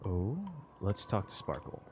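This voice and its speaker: A man's voice: sits low, lively intonation, unhurried, background noise. A man streaming a video game, giving casual reaction commentary as he plays.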